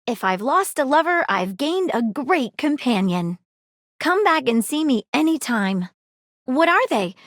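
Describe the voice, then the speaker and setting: A woman's voice: high voice, no room sound, studio quality. A woman voicing an eager female detective who is a magnifying glass, with a dramatic, earnest and playful sleuth delivery in a dating video game.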